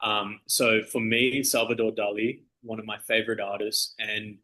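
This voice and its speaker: A man's voice: on the low side, level tone, moderate pace, bright, forceful tone, rough recording. A man, a DJ, chatting casually in an interview with loose, easygoing phrasing and plenty of fillers. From Australia. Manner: easygoing, loose, full of fillers like "you know" and "like". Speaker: DJ and guest.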